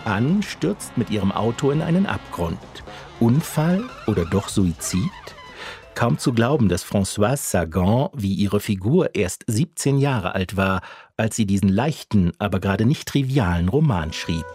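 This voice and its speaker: A man's voice: lowish voice, expressive, measured pace, over music. A man working as a radio critic, reading a scripted review.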